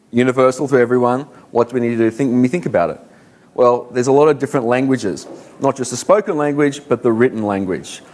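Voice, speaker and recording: An Australian man speaking at a conference, talking through his points in a loose, conversational way. His voice sits at an ordinary middle pitch, and he often puts questions to the audience. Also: darkish tone. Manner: conversational, many fillers, rhetorical questions.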